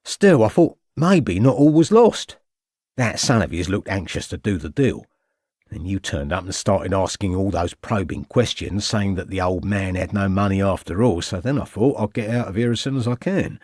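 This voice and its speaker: A man's voice: low voice, animated, moderate pace, no room sound, studio quality. A man voicing a cornered male con man who drops a fake French accent for a Cockney one, pleading and sly. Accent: fake French, then Cockney. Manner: pleading, rising to desperate shouts.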